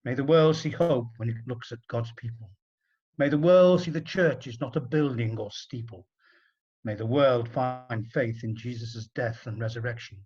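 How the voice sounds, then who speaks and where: A man narrating a rhyming story in an expressive, dramatic storybook style with deliberate phrasing. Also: mid-range voice, lo-fi sound.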